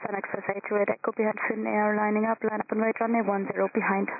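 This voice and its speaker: Rapid-fire air traffic controller over crackly radio. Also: mid-range voice, level tone, creaky. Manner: procedural, reciting instructions and numbers. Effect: aviation radio. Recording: narrow crackly airband radio, faint static.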